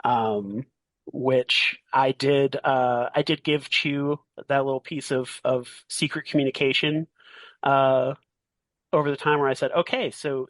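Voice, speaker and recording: A man acting as game master, narrating a tabletop game in a casual way with a halting, deliberate delivery. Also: medium pitch, no echo.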